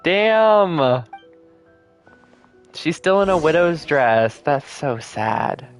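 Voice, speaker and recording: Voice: mid-range voice, big pitch swings, extremely slow, soft background music. A game streamer giving casual, slangy, joking reaction commentary in a laid-back way.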